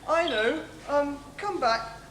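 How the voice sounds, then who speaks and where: A man's voice: very high voice, animated, measured pace, a bit bright, exaggerated voice. A man playing an exasperated, blustering tribesman in an amateur comic stage pantomime, flustered and exclamatory. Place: theatre stage. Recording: echoey stage recording, background hiss.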